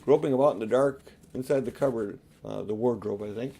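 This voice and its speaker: An older man reads a children's storybook aloud. He has a mid-pitched voice and narrates as an audiobook reader would. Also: a little fast, creaky, warm tone.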